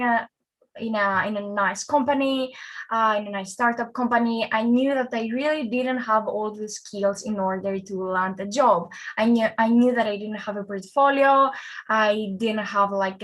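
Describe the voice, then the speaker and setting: A woman's voice: a little high, fairly bright tone. A young woman from Greece, a front-end developer, presents a conference talk in a friendly, conversational way with fillers and restarts.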